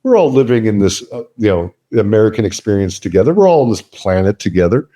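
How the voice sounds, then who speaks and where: A man's voice: fairly low pitch, very animated, quick pace. A man talking as an interview guest, emphatic and blunt, swearing freely in casual talk. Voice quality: loud voice. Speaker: podcast guest, veteran business leader.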